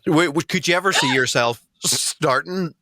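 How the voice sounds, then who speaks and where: A man's voice: a little high, normal speed, sharp tone. A man co-hosting a podcast, laughing often and asking questions in casual banter. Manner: laughs a lot, asks questions. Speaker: podcast co-host.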